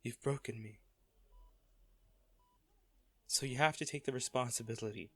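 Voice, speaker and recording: A man acting a hardened lover pleading at a comatose partner's bedside in a romantic audio roleplay, halting, intimate and earnest. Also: mid-range voice, bright, forceful tone, no room sound. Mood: grieving, pleading.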